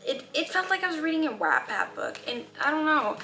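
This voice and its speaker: A woman's voice: high-pitched, big pitch swings, a little fast, reverberant. A young woman who is not a native English speaker, chatting candidly to camera as a book vlogger, with many fillers. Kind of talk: casual chat to camera. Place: room at home.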